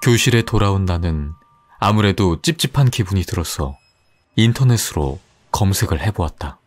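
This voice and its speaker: A man narrating a horror story in a slow, suspenseful scripted delivery. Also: low voice, animated.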